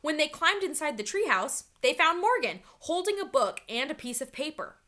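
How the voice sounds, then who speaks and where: Woman, teacher read-aloud, children's story, high bright voice, animated, dramatic storytelling. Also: moderate pace.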